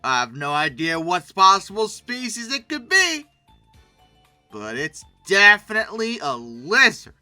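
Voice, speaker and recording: Animated, bright-voiced commentator with lively pitch swings. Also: measured pace, no echo, soft background music. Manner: animated, mock-indignant, exclaiming; rambling, conversational asides to the pictures. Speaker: host reviewing old dinosaur illustrations. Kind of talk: casual humorous commentary.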